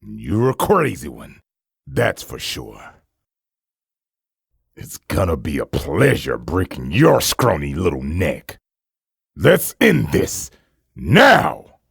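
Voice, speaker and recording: A man playing an arrogant, ruthless soldier-assassin in a comic dub, gruff, scornful and threatening. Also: low voice, huge pitch swings, a bit bright, dry recording, clean studio sound, heavily acted. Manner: slow, dismissive, threatening. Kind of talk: fan comic dub acting.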